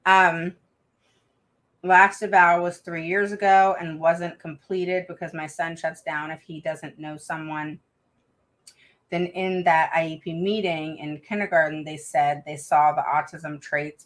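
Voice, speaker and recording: A middle-aged woman hosting a live stream for parents, reading out viewer comments. Her voice sits low but has a bright, forceful edge. She talks frankly, with plenty of fillers and restarts. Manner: calls herself a roaring mama advocate. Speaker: host of a live stream for special education parents.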